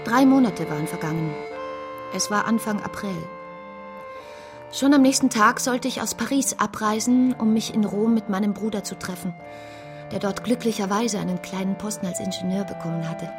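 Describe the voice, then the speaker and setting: A woman's voice: medium pitch, moderate pace, over music, lightly acted. An actress as a reflective woman telling her story. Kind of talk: first-person radio play narration.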